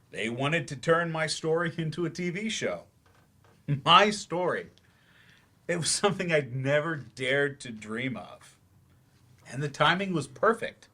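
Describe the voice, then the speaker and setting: A man playing a weary, regretful novelist turned teacher in a staged play reading, halting, self-deprecating and confessional. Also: fairly high pitch, a bit bright. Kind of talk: staged dramatic monologue.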